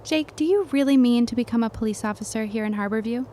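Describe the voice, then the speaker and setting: A wry, strong-willed young woman of the 1910s, played by an actress, speaks in a fairly high voice. It is period radio drama dialogue, with faint noise behind her. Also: quick pace, warm tone, close to an everyday voice. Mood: teasing. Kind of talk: period audio drama acting.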